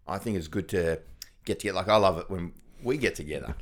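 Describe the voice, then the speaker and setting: A middle-aged Australian man co-hosting a podcast, chatting casually and easygoing, laughing often and trailing off into quiet asides. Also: low voice, quick pace. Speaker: podcast co-host. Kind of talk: casual chat.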